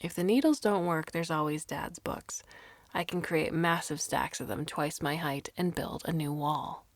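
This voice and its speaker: A woman narrates a first-person short story with dramatic expression. Her voice sits a little low and has a clear creak. The recording is dry and close, with no room sound. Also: unhurried, no echo.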